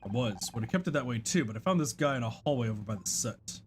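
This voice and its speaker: A performer voicing a gruff, impatient older male police detective in a video game, curt and commanding. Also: medium pitch, lively intonation, fast, slight background noise, close to an everyday voice. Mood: weary, gruff.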